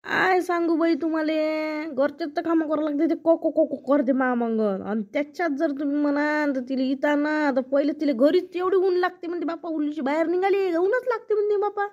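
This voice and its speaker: Cartoon village farmer man, voiced by a woman: high pitch, Varhadi Marathi accent, folksy grumbler, slightly lo-fi recording. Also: a little fast, a little mellow, natural voice. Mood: grumbling. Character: worried, talkative village farmer man with fields to pick. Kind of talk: comic cartoon dialogue.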